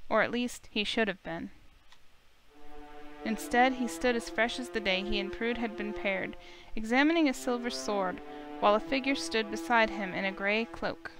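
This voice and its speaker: A woman's voice: on the high side, normal speed, music in the background, low-quality recording. A woman reading scripted story narration as the narrator of a visual novel.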